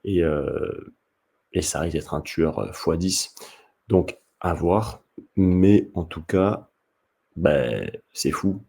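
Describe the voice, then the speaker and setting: A man who hosts his own channel, giving casual spoken commentary straight to the viewer, often halting and restarting mid-sentence. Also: low-pitched, vocal fry, dark tone, slightly rough. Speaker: table tennis coach and channel host.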